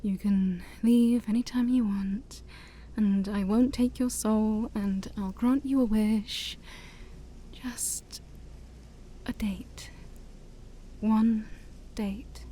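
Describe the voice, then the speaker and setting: A woman voicing a shy, haughty, ancient demon princess in a soft-spoken ASMR roleplay, breathy and often near a whisper, with formal, theatrical wording and awkward hesitations. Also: medium pitch, fairly even intonation, extremely slow, long pauses, a little hiss or hum. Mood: shyly offering.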